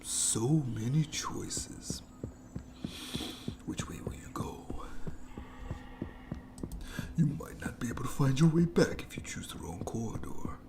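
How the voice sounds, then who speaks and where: A man's voice: medium pitch, sing-song, a little slow. A man voicing a sadistic, playful monster in a horror ASMR roleplay, whispering with taunting mock courtesy. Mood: taunting, savoring. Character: sadistic, playful, menacing monster lurking in its lair.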